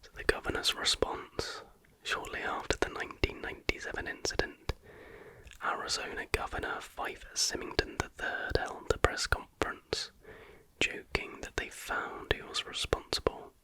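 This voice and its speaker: An ASMR host reading factual material aloud in a breathy, unvoiced whisper with a measured, hushed delivery. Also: rough recording.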